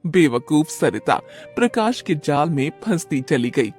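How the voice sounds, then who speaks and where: A woman's voice: low voice, very animated, measured pace, a little mellow, soft background music. A woman reading scripted narration for a storybook tale as its narrator.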